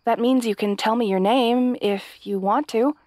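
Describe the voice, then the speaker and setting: A kind, hapless young princess played by a woman speaks in a fairly high voice, close and dry. She is timid but brave, chatty and self-deprecating, and stammers nervously as she talks straight to the listener in a fantasy audio roleplay. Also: normal speed, darkish tone, no room sound, close to an everyday voice. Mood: flustered, chatty. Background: night sounds with crickets.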